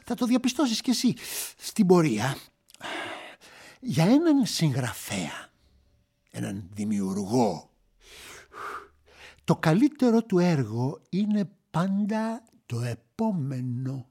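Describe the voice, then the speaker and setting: A patronizing veteran writer. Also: female voice, low-pitched, sing-song, long pauses, mellow. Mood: coaxing. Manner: patronizing, coaxing. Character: seasoned male writer advising a young journalist. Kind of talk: radio play acting. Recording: music playing underneath.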